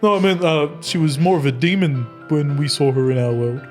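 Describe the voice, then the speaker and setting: A man's voice: on the high side, fairly quick, a little mellow, soft background music, lightly acted. A man playing a muddled but well-meaning fantasy hero, talking hesitantly and rambling through improvised tabletop roleplay.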